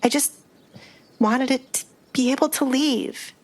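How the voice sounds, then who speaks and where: A woman playing a demure young noblewoman with quiet defiance, speaking softly in an audio drama. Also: sits high, a little slow, voice pushed up, poor audio. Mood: timid.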